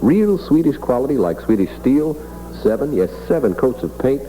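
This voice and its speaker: A man's voice: medium pitch, sing-song, moderate pace, mellow, faint music behind, poor audio. A man hosting a morning radio show in 1960s announcer style, reading time checks and ads. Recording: vintage 1960s radio broadcast.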